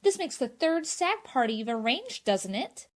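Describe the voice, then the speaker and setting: A woman's voice: high-pitched, very animated, normal speed. A woman playing a chipper, proper teenage daughter in a radio sitcom. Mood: bright, teasing. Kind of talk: old-time radio sitcom acting. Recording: faint background hiss.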